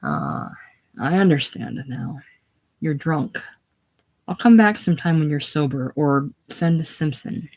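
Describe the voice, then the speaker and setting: A reader voicing a stiff, haughty young gentleman who turns curt and indignant. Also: medium pitch, very animated, unhurried, gravelly. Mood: condescending.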